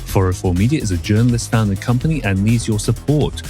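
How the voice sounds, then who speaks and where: A man hosting a podcast in a casual chat, his voice hoarse after a poor night's sleep, brisk and upbeat as he reads the intro and steers the talk. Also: fairly low pitch, lively intonation, mellow, soft background music. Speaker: podcast host.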